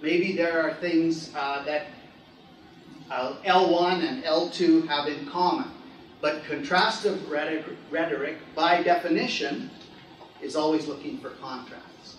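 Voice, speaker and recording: A middle-aged man giving a measured conference research talk in a lecture room, pausing often. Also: fairly high pitch, fairly even intonation, a little hiss or hum. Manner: measured, many "uh" pauses. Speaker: academic presenter. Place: lecture room with projector screen. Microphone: far camera. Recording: echoey room from far off.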